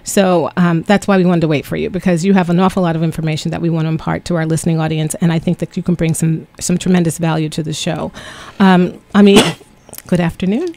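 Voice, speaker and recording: A woman's voice: lowish voice, flat delivery, quick pace, warm tone, slight background noise. A woman hosting a business talk radio show, chatty, with frequent ums as she reads out figures and questions her guest. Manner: chatty, many ums, reads stats aloud. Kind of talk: radio interview. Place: radio studio.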